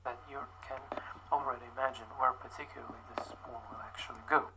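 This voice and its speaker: A man narrates a coding tutorial in a careful, rehearsed manner. The clip is older footage played back, and clear hiss sits under it. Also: medium pitch, fairly even intonation, fast talker. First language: Russian.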